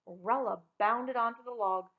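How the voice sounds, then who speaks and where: A woman reading a children's story aloud in an expressive storytelling style. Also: fairly high pitch, measured pace, narrow, tinny audio.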